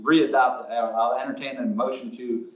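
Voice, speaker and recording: A man chairs a public meeting, his voice sitting at a middle pitch, and he wanders through the agenda thinking aloud and hedging as he goes. He is picked up from a distance in an echoing room. The recording is dull and lo-fi. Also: steady tone, moderate pace, a crowd in the background. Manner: meandering, thinks aloud and hedges. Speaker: commission chair.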